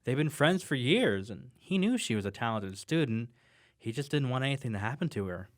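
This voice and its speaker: A man's voice: medium pitch, sing-song, fairly quick, lightly acted. A man playing a candid, good-humoured young detective's sidekick in an audio drama. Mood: explanatory.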